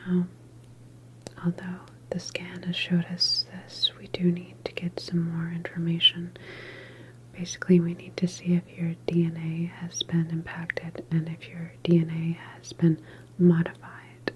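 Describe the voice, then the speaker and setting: A woman performing an ASMR medical roleplay as a calm government doctor, speaking in a deliberate, reassuring clinical manner. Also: on the low side, a little hiss or hum, rough recording. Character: calm female government doctor examining a patient.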